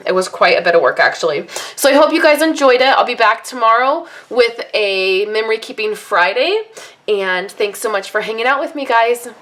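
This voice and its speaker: A woman with a slightly hoarse voice, chatting casually as she demonstrates card making at her craft table, rambling and apologetic at times. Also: mid-range voice, a bit bright. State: voice a little hoarse from allergies and little sleep. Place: home craft workspace, indoors.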